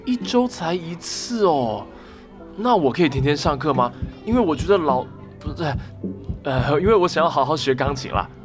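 A man acts out an obsessive, socially awkward male admirer in a radio drama. He stammers and hesitates as he talks. Music plays clearly behind him. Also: on the high side, lively intonation, normal speed, natural voice. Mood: hesitant, eager. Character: obsessive awkward male stalker posing as an eager student.

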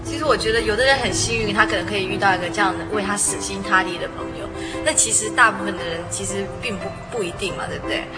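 A woman hosts a radio interview in a conversational way, with music clearly behind her. Her pitch sits in the middle range for a woman. She leads with questions and often echoes what her guest says. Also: quick pace, hardly any pauses.

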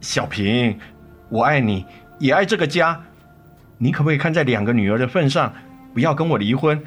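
Actor as a cheating husband, widely swinging pitch. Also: male voice, lively intonation, moderate pace, natural voice. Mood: pleading. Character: cheating husband and father, defensive, pleading, remorseful. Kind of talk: Mandarin radio drama acting. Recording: studio radio drama with music underneath.

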